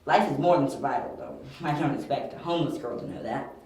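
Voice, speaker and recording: A man's voice: mid-range voice, fast, darkish tone, lightly acted. A very echoey, distant recording of a man acting as a young detective in an audio drama. He is brash and sure of himself. His delivery is dry and clipped, with a sardonic edge. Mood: challenging. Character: confident, wry young male detective, analytical and brash. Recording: very echoey, distant, faint hiss.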